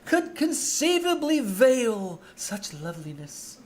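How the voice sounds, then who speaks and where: Woman playing a high-voiced, florid teenage schoolboy. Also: very animated, slow, darkish tone. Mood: grandly earnest. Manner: theatrical, pompous flourishes. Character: eager, florid teenage schoolboy, earnest and cheeky. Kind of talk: old-time radio comedy acting. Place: small town theater stage.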